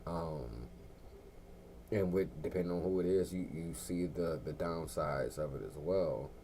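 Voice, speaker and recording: A young adult man hosting a radio talk show, chatting in a relaxed, slangy way with drawn-out fillers. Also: deep voice, slight background noise. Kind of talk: casual laid-back show chat.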